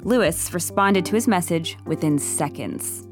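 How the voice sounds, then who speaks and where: A woman's voice: fairly low pitch, expressive, measured pace. A woman delivering scripted third-person story narration as the narrator of an audio drama. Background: music.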